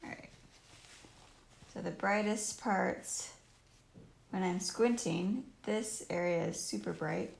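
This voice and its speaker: A woman with a croaky voice casually teaching painting on a livestream, thinking aloud in short phrases as she works. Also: on the low side, takes it slowly, pauses often. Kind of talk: casual live painting tutorial. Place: indoors at home, live stream while painting. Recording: roomy live stream with faint noise and clicks.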